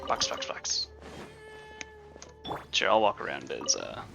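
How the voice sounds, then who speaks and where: A man's voice: extremely low pitch, very animated, slow pace, creaky, music in the background. A man livestreaming casual game commentary in a sarcastic, joking manner.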